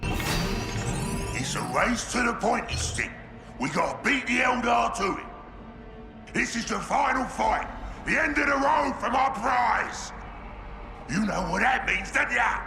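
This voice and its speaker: An actor playing a brutish, cunning ork warboss with a gravelly voice and coarse slang. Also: male voice, extremely high pitch, lively intonation, takes it slowly, long pauses, full character voice. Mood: boisterous. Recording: echoey game cutscene with music.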